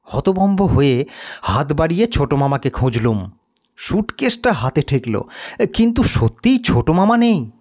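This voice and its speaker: A man's voice: on the high side, sing-song, normal speed, a little mellow. A man narrating a scripted story in a dramatic storytelling style.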